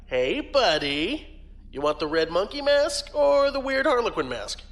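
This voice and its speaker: A man's voice: sits high, very animated, measured pace, fairly bright tone, soft background music, exaggerated voice. A man playing a brash, fun-loving young space fleet officer in an audio drama, with a casual, cajoling manner. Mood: playful, eager. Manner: casual, wheedling, swooping.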